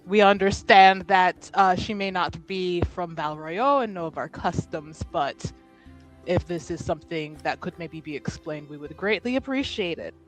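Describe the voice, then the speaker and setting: A woman's voice: lowish voice, normal speed, soft background music, lightly acted, poor audio. Woman playing a pointedly polite noble household member.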